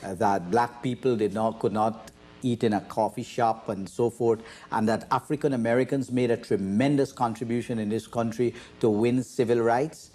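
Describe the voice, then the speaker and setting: A man's voice: medium pitch, steady tone, normal speed, warm tone. A man hosting a panel discussion, asking long, probing questions and often restarting his sentences. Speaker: host and moderator.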